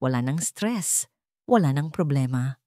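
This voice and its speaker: A woman's voice: rumbling low, animated, measured pace, mellow, no echo, clean studio sound, pitched below the performer's own voice. A woman voicing a smug, controlling, greedy husband in an audio melodrama, with a condescending manner. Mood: self-satisfied.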